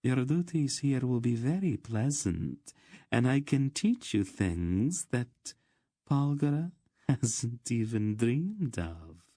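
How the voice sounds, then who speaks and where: A cruel, seductive queen from a fantasy storybook, acted out by a man. The delivery is languid and purring, raised in pitch, with a cold, bored superiority. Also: animated, takes it slowly, mellow, no echo, clean studio sound.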